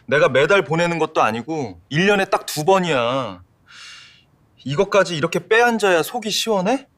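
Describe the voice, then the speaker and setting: A man's voice: a little high, expressive, moderate pace, a bit bright. A man voicing an exasperated husband in an audio drama, pleading and accusing. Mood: angry. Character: exasperated husband devoted to his mother.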